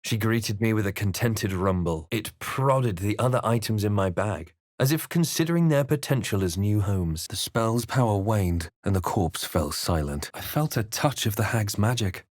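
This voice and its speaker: Man acting a measured fantasy adventurer hero, close dry sound. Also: fairly low pitch, expressive, a little mellow, no echo, close to an everyday voice. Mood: hushed, grave. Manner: measured, theatrical storytelling delivery. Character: young male fantasy adventurer hero, earnest and guarded. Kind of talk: dramatic first-person narration and reactions in a fantasy game.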